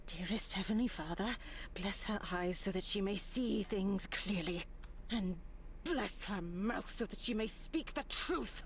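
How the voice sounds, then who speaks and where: An actress playing a stern, sanctimonious nun in a visual novel, haughty, pious and reproachful. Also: female voice, mid-range voice, measured pace, a little hiss or hum, natural voice. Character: stern, sanctimonious nun, scolding her young charges. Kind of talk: dramatic visual novel voice acting.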